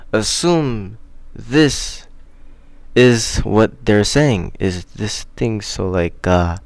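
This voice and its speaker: Young man, smooth lowish voice, unhurried halting delivery, casual rambling vlog explainer, slangy. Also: no room sound. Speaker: video host.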